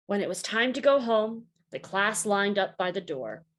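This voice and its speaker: A woman reading aloud a story for children in a deliberate, expressive teacherly way. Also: medium pitch.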